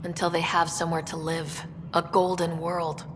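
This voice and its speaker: A woman's voice: fairly low pitch, little pitch movement, normal speed, noisy background, lightly acted. An actress playing an earnest young woman who leads explorers in a video game. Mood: resolute. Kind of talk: video game cutscene acting. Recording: reverberant hall with music behind.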